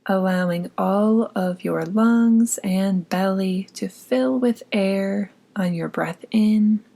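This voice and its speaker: A woman leads a guided meditation at a very slow, unhurried pace. Her mid-pitched voice is soft and breathy, often close to a whisper. She gives gentle, soothing instructions as she goes. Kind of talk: scripted guided meditation narration.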